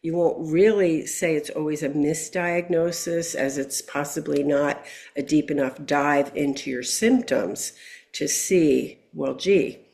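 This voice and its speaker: A woman's voice: low-pitched, unhurried, vocal fry. A woman, a health practitioner guest, giving long explanatory answers with frequent fillers over a video call. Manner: explanatory, steady, many fillers. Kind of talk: interview answer.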